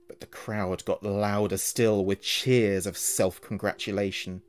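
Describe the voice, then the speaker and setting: A man telling a horror story as narrator, in a dramatic scripted style. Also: on the low side.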